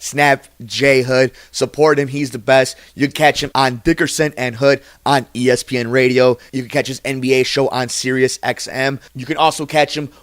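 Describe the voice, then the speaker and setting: A man's voice: medium pitch, no echo, clean studio sound. A man with an American accent hosts a casual podcast, speaking in an earnest, effusive way. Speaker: podcast host and interviewer. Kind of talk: casual podcast interview and sign-off.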